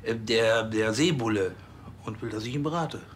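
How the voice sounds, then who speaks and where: An actor playing a self-important police chief in a TV comedy drama, speaking in a halting, pompous way. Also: male voice, on the low side, a little hiss or hum, lightly acted.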